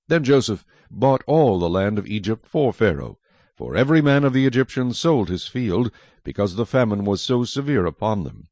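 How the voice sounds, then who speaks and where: Low-voiced man narrating scripture, lively intonation. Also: no echo. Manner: measured, dramatic storytelling.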